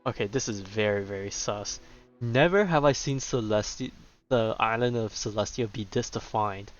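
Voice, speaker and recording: Man, mid-pitched voice, casual gameplay commentary, offhand and wry, muffled lo-fi mic, game music behind. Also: moderate pace. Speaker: game streamer. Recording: dull, muffled lo-fi microphone.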